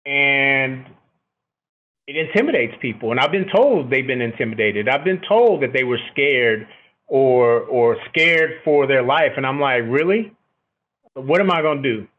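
Over a narrow, phone-like connection, a middle-aged man talks as an interview guest. He has a booming voice at an average pitch. His delivery is conversational and reflective, often checking that the listener follows. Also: animated, measured pace. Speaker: military officer, podcast guest. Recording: thin, phone-like band-limited line.

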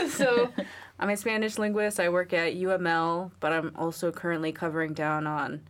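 A woman's voice: lowish voice, moderate pace, a bit bright. A young woman from New York, a guest in a casual interview, talking about herself in a chatty, wry way with many fillers. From New York, USA. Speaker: guest, Marine Spanish linguist.

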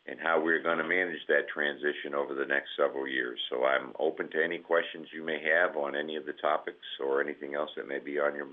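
A man with a cold, sounding scratchy and nasal, speaking as a guest in measured remarks with many pauses. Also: extremely low pitch. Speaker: senior army enlisted leader, guest. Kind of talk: conference call remarks. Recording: tinny phone line.

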